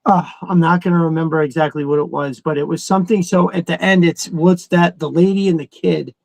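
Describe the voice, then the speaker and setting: A middle-aged man co-hosting a casual fan chat, talking in an excitable, rambling, profanity-laced way. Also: on the high side, fairly quick, gravelly, no room sound. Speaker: podcast co-host.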